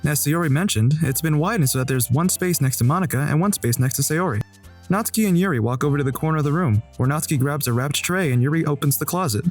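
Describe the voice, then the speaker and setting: A man's voice: a little high, quick pace, close to an everyday voice. A man as an awkward schoolboy narrating. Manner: even, wry inner-monologue narration. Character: awkward teenage schoolboy narrating his thoughts. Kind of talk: fan dub of a visual novel. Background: faint music.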